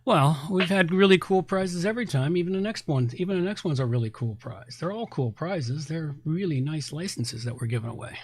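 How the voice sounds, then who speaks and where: A man's voice: fairly high pitch, animated, brisk, few pauses. A man co-hosting a podcast, chatting casually before the show in a blunt, grumbling, rambling way. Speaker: podcast co-host. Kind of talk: casual pre-show chat.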